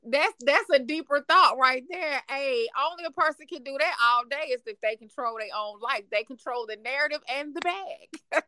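An American woman hosts in a high, bright voice. She chats informally and emphatically, often saying a phrase twice. The recording is close and dry. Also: moderate pace, dry recording, rough recording. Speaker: podcast host. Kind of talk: casual interview chat.

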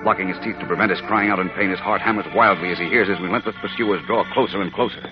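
A man's voice: low-pitched, steady tone, racing. A man narrating a serial in dramatic, scripted 1940s radio style on an old recording. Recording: dull old radio recording, loud music.

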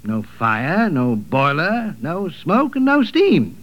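An actor playing an emphatic, encouraging inventor in a 1940s radio drama, declaiming each phrase deliberately. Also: male voice, fairly high pitch, wildly swooping pitch, exaggerated voice. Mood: emphatic encouragement. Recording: muffled vintage radio recording.

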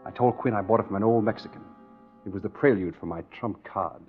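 A man's voice: fairly low pitch, fast, natural voice. An American actor playing a tough, scheming engineer who tells his own swindle in hard-boiled 1940s radio drama style. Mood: hard-boiled, confiding. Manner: hard-boiled first-person storytelling. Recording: dull 1940s radio recording with music.

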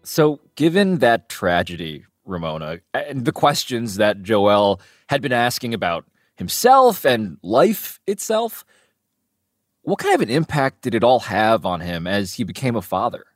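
A man hosting a podcast, asking measured, leading questions. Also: fairly low pitch, big pitch swings, no room sound, clean studio sound. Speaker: podcast host. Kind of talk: interview questions.

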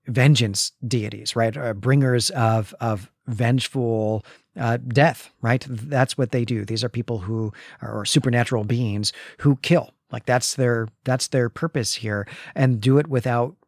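A man's voice: medium pitch, steady tone, unhurried, no echo, clean studio sound. A man co-hosting a podcast, discussing a story in an analytical, conversational way and often checking in with tag questions. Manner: analytical, adds frequent tag questions like "right?". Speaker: podcast co-host. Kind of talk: conversational literary discussion of a comic.

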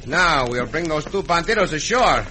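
Gallant mexican cowboy hero, really bright forceful voice, courtly talk, lively pitch, old radio western. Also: male voice, moderate pace. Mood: brisk, commanding. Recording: old radio recording with faint noise.

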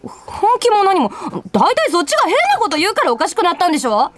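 A woman's voice: very high-pitched, quick pace, heavily acted. An actress playing a pushy, flustered young office woman in a Japanese radio drama. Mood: flustered, defensive. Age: young adult. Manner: insistent, excitable. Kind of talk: Japanese radio drama acting with inner monologue.

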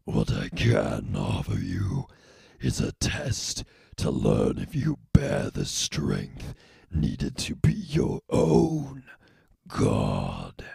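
A man playing a monstrous half-machine cult leader in an audio story, preaching solemnly and menacingly in a gravelly rasp. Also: a bass voice, wildly swooping pitch, very slow, a little mellow, dry recording, studio quality, exaggerated voice. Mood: grandiose, preaching. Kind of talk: dramatic audiobook character acting.

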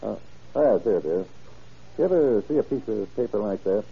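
An actor in an old-time radio crime drama playing a folksy, methodical middle-aged county sheriff, speaking plainly and deliberately. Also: male voice, fairly low pitch, lively intonation, gravelly, slightly rough, heavily acted. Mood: folksy, inquiring. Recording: muffled vintage radio drama recording.

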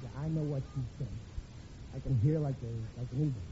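A man's voice: medium pitch, brisk, natural voice. A middle-aged actor playing a blustering, cheapskate working-class husband and father in a 1940s radio comedy, cocky and ungrammatical. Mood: scheming. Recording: muffled, noisy old radio broadcast.

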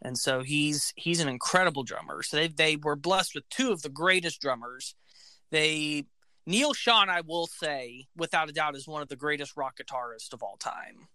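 Man, high voice, bright tone, dry close sound, enthusiastic, rambling music talk. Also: moderate pace, no echo. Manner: enthusiastic, fact-filled, says "like" often. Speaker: podcast co-host. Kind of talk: casual music chat.

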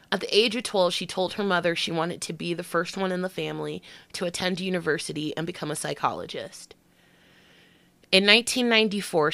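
Slightly nasal woman, low and dry. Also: a bit bright, dry recording. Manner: reads the case then breaks into slangy, profane asides. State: allergies. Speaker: true crime podcast host. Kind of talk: casual true crime narration.

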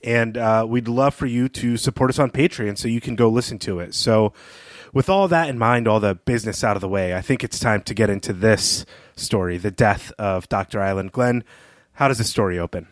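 A man talks as a co-host on a literary podcast. His voice is on the low side, and his delivery is enthusiastic and conversational. The recording is dry and free of room sound. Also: fairly quick, no echo.

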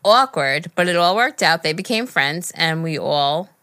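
Bright, low-pitched American woman podcaster. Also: lively intonation, moderate pace, no room sound, clean studio sound. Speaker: podcast co-host. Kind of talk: casual podcast chat, reading listener letters aloud.

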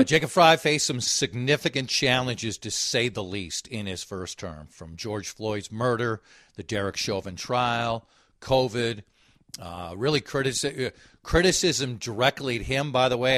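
A man hosting a radio panel, briskly steering the questions. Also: medium pitch, fairly bright tone, dry recording, studio quality. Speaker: radio host.

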